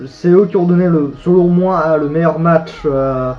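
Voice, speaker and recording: A man's voice: a little high, moderate pace, dull audio, soft background music. A young man rambling through wrestling predictions in casual solo commentary, full of hesitations and self-corrections. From French-speaking. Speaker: YouTuber giving wrestling predictions.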